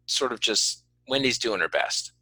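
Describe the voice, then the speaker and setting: A man's voice: medium pitch, lively intonation, normal speed, bright, forceful tone, dry recording. A man hosting a casual interview, listening attentively and putting in brief affirmations and questions. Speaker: podcast host, interviewer.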